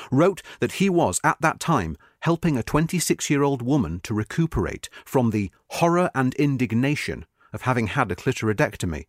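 A man reading a history magazine article aloud in a measured, expressive way, slowing at the ends of sentences. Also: mid-range voice, no echo, studio quality. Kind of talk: scripted narration.

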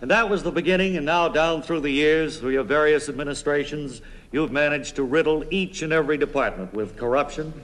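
A man's voice: fairly high pitch, lightly acted. A man plays an earnest radio interviewer with a slightly raised voice. He questions politely and with a straight face in a comedy parody. The old recording is noisy, with long reverb. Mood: polite, deadpan. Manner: measured, deadpan, polite, hesitant questioning. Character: earnest, respectful male radio news interviewer.

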